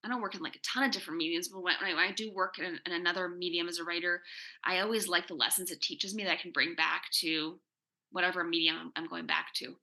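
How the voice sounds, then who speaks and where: A woman with a slightly low, extremely bright and sharp-edged voice talks as a writer on a panel. She is earnest and emphatic, in a casual conversational style. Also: fairly even intonation, fast talker. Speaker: comics and screen writer answering questions in a panel.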